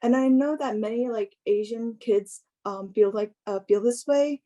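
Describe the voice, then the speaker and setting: A young woman giving an informal personal talk in a hesitant, halting way, often filling in with "like". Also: a little high, warm tone. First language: English. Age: young adult.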